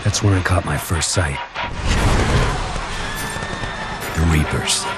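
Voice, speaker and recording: Deep, gravelly, brooding antihero. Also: male voice, normal speed, loud music behind the voice. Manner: brooding, terse, hard-boiled delivery. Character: gritty, bitter young male antihero narrating his city's collapse. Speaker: video game protagonist and narrator. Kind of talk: scripted video game narration.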